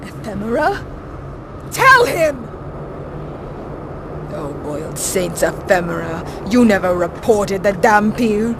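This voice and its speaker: A woman's voice: mid-range voice, sing-song, slow pace, pauses often. An actress playing a fiery, spiteful female vampire villain in a video game, delivered with melodramatic vehemence. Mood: furious betrayal. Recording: clear noise behind the voice.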